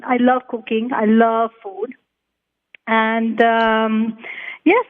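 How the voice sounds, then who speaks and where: Woman, Colombian Spanish accent, earnest explainer, tinny phone line. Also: on the high side. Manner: earnest, explanatory, light laughs. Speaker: cookbook author, Chinese medicine practitioner. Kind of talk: phone interview guest.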